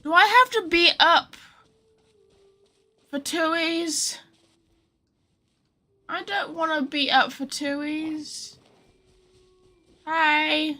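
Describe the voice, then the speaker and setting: A woman's voice: high-pitched, fairly even intonation, extremely slow, long pauses, pushed and bright. A chatty woman giving casual, joking, sweary live commentary as she streams a game.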